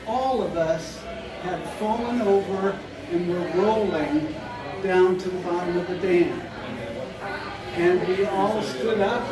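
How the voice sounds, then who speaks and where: Slow, deliberate storyteller on stage. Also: medium pitch. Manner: slow, deliberate, matter-of-fact. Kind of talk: personal story told on stage. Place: bar venue with a live audience. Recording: roomy echo from a distant mic with loud crowd.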